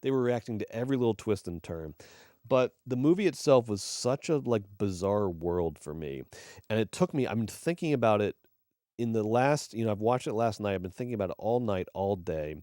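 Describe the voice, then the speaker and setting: Rambling, profane man with a low voice. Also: lively intonation, moderate pace, mellow, no echo, studio quality. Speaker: podcast co-host. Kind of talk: casual movie review chat.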